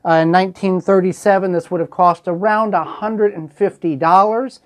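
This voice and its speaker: A man's voice: sits high, steady tone, normal speed. A man hosting a casual show-and-tell, explaining things in a folksy, plainspoken way. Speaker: host showing a collectible.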